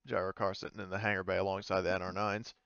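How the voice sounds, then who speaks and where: A man's voice: low voice, little pitch movement, quick pace, fairly bright tone, clean tone, dry recording, highs cut off. A man casually explaining and narrating as the game master of a tabletop game.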